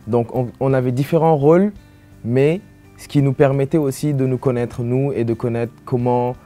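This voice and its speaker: A man's voice: medium pitch, fairly even intonation, moderate pace, warm tone. A young man with a Senegalese French accent giving an informal testimonial as a student, rephrasing as he goes. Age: young adult. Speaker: international student. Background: guitar music.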